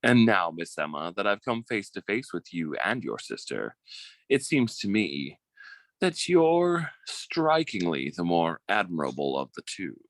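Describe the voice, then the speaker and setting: An actor playing a scheming, bullying yet cowardly suitor in an old-time radio drama, theatrical and given to stammering when flustered. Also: male voice, low voice, very animated, a little slow, no room sound, exaggerated voice. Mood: oily flattery.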